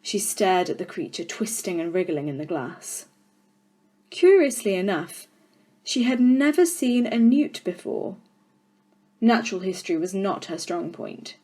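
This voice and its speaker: A woman storyteller giving an expressive children's audiobook narration with deliberate, dramatic phrasing. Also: lowish voice.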